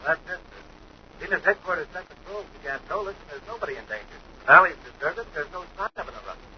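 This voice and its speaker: Brisk dutiful headquarters captain played by a man. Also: a little high, lively intonation, lightly acted. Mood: puzzled, deferential. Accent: American. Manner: brisk, deferential. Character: dutiful male space patrol headquarters captain. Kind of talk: 1950s radio adventure acting. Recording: dull, noisy 1950s radio recording.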